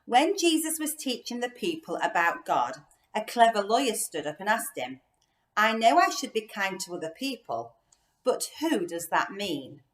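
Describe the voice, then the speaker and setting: An echoey room carries the voice of a woman with a middling pitch. She reads a children's Bible story aloud as a church storyteller, explaining things in simple, clear terms for young listeners. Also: sing-song, normal speed. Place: inside a house.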